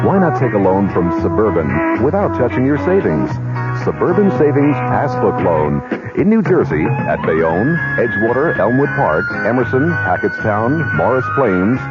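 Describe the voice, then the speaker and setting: A man's voice: fairly low pitch, sing-song, normal speed. A man announcing a scripted 1970s radio commercial. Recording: muffled old radio, loud music bed.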